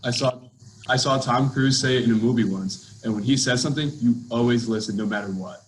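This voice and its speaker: A man playing a nervous, bossy high school boy in an amateur script reading. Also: fairly quick, lightly acted, low-quality recording. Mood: insistent. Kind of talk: amateur table read of a movie script.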